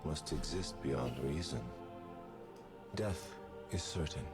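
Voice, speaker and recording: An actor voicing a theatrical male assassin who treats killing as art, slow and grandiose, often whispering, in game voice lines. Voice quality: deep gravelly voice. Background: music.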